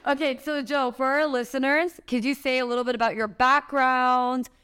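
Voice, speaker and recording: A woman's voice: high voice, steady tone, a bit bright, smooth. Bubbly young woman hosting a podcast. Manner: bubbly, chatty, quick to laugh. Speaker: podcast host. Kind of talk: casual interview chat.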